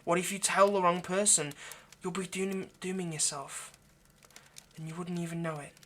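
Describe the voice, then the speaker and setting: Low-key pleading male vampire, acted by a man, noisy recording. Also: level tone, fairly bright tone. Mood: anxious, earnest. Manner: halting, earnest, pleading, dropping to near-whisper. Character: male vampire, ageless, possessive yet remorseful, pleading lover. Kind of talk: intimate ASMR audio roleplay monologue.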